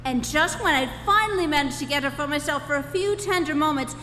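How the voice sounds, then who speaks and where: A woman playing a disgruntled, put-upon Earthman in a live stage radio play, dry and aggrieved in a deliberate delivery. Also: high-pitched, bright, forceful tone, a little hiss or hum. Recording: echoey hall, music behind.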